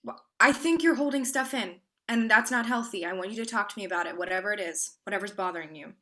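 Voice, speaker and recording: A woman's voice: mid-range voice, quick pace, pushed and bright, lightly acted. An actress playing a worried, devoted girlfriend in a staged play reading, coaxing and pressing her partner with questions. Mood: pleading.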